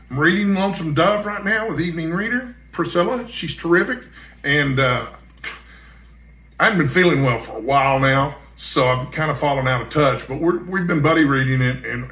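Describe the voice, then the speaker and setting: Bright-voiced American man, folksy and echoey. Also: fairly high pitch. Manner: folksy, rambling. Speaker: book vlogger. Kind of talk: casual chat to camera. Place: indoor room at home. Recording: echoey, roomy sound.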